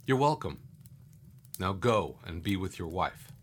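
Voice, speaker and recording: A man acting as a calm, mysterious guide in an audio drama, reassuring and instructive. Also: low voice, measured pace, a little hiss or hum. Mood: calm, assured.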